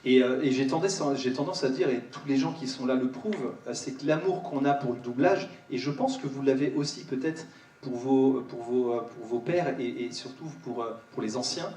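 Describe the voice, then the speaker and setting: A man with a mid-pitched voice speaks spontaneously at a live panel. He is halting and often repeats a phrase. The sound is echoey and somewhat distant from the microphone. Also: a little mellow, a little hiss or hum. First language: French. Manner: halting, repeats words for emphasis. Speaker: host of a panel discussion. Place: large cinema auditorium.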